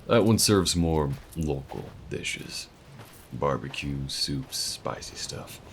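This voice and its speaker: A man's voice: extremely low pitch, lively intonation, slow pace, slight background noise, heavily acted. A man voicing a friendly, easygoing male alien who shows the listener around town in an audio roleplay, talking in a folksy, laid-back drawl.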